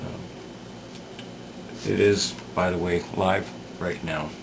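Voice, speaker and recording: A man with a low, creaky voice talks through a repair at his workbench. He speaks slowly and haltingly, thinking out loud. There is clear noise behind him. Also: fairly even intonation. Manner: hesitant, halting, thinking aloud. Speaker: radio repair hobbyist demonstrating work. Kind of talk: unscripted workbench commentary.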